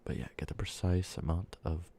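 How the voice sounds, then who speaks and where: A man with a cold softly speaking and whispering in a rambling ASMR video, sounding congested and short of breath. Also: very deep voice, a little fast, gravelly, a little hiss or hum. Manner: soft speaking drifting into whispers, rambling with fillers. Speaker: ASMR creator. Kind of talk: soft-spoken ASMR candy-making ramble.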